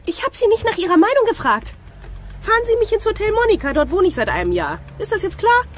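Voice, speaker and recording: A woman's voice: extremely high pitch, big pitch swings, quick pace, slight background noise. An actress playing a deluded, imperious woman with an erratic, haughty manner in a crime radio play. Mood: sharp, agitated. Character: deluded, unstable young woman with split personality, imperious.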